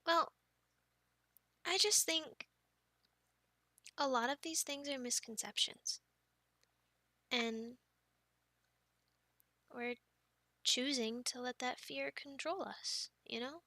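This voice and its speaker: A woman's voice: high voice, takes it slowly, pauses often, bright, forceful tone, no room sound. A young woman performing an ASMR roleplay in a playful, girlish manner, close to the microphone. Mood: playful chat. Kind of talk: ASMR roleplay, whispered storytelling and casual chat.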